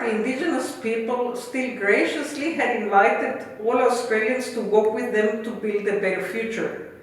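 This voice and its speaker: Woman, 50s, Guatemalan Spanish accent, earnest and formal, prepared story, very echoey room. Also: a little high. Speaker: interview guest, campaigner. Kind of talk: prepared personal story, read aloud.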